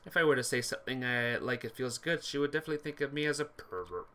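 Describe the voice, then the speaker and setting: Lively, bright-voiced game streamer reading aloud. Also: medium pitch, fast talker. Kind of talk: game playthrough narration with chat asides.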